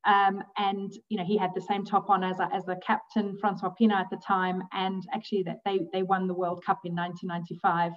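A woman's voice: medium pitch, flat delivery, brisk, few pauses, recorded from a distance, dull audio. A woman, a guest in a casual interview, talking hesitantly with many ums and easy laughs. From grew up in South Africa. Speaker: guest, bat conservationist.

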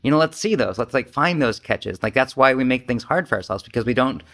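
A man's voice: medium pitch, lively intonation, brisk, a bit bright. A man leading a casual group discussion as a Zen teacher, speaking candidly and self-deprecatingly, with swearing and imagined quotes.

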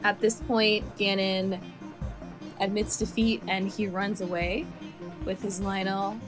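A woman's voice: mid-range voice, slow pace, over music. Chatty young woman podcast co-host. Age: 20s. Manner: animated, laughs often, filler-heavy storytelling. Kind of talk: casual podcast chat, retelling a movie pitch.

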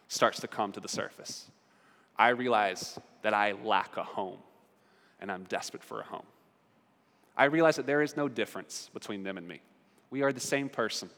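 Pastor guy preaching earnest, mid-pitched voice, long echo off a big church hall. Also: a bit bright. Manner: earnest, building in pitch and volume at key points. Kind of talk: sermon. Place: church sanctuary. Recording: long reverb of a large hall.

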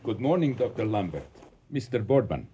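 A muffled, courtly official. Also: male voice, on the low side, very animated, normal speed, dark tone, slight background noise. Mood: courteous, careful. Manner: formal, precise, elaborately courteous. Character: polite Egyptian antiquities official, formal, deferential, careful uncle. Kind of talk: radio drama acting. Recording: dull, muffled radio recording.

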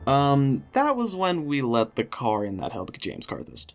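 A man's voice: medium pitch, huge pitch swings, a little slow, vocal fry, faint music behind, heavily acted. Man acting a nervous, stammering servant boy. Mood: cautiously confident. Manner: hesitant, stammering.

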